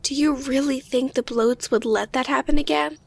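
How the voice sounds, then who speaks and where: Female actor as little anxious follower creature with a high voice, audio story character part. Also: steady tone, moderate pace, creaky, slightly rough, heavily acted. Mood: concerned. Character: small, anxious young Zoombini follower. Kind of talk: audio drama character acting.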